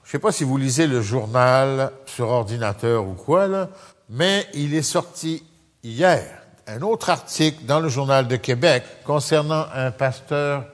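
A man born in France, living in Canada, teaching a Bible study in a relaxed conversational way and often asking his listeners questions. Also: medium pitch, a little slow, in a large hall. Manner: conversational, asks the audience questions. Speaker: pastor.

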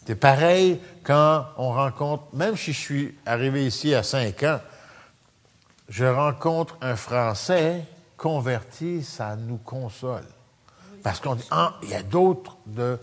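Man teaching a Bible study in a hall. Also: medium pitch. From France. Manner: conversational, asks the audience questions. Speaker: pastor.

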